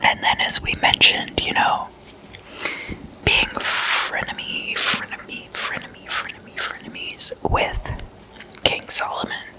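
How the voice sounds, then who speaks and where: An ASMR host speaking in an unvoiced, breathy whisper close to the microphone, telling stories casually and softly repeating words. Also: a little slow, poor audio.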